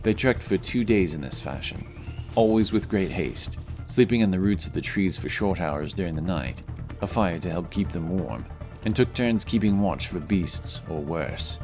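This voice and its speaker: A man's voice: low-pitched, expressive, normal speed, darkish tone. A man reading scripted fantasy story narration as the narrator of an audio drama. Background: music.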